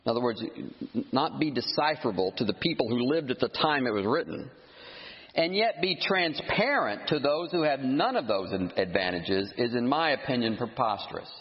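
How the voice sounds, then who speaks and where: A man preaching a sermon, emphatic and animated, scornful of his critics, with frequent restarts. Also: medium pitch, moderate pace. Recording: muffled sound with long hall reverb.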